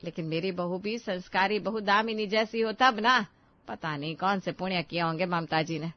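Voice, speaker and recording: A woman's voice: lowish voice, normal speed, muffled recording, close to an everyday voice. A woman voicing a domineering middle-aged Indian mother-in-law, exasperated and dramatic in a family story. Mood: grumbling, wishful.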